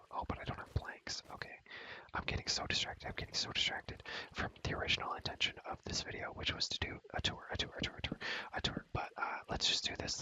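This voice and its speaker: A man's voice: bright, forceful tone, low-quality recording. A young man whispering breathily in a casual ASMR gameplay chat, repeating phrases over and over in slangy speech. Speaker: ASMR video creator.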